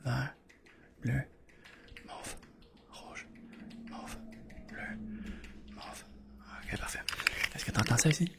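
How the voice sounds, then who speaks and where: Male ASMR performer, whispery with heavy vocal fry, playing a patient, reassuring doctor. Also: mid-range voice, lively intonation, slow pace, long pauses. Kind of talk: whispered ASMR medical roleplay.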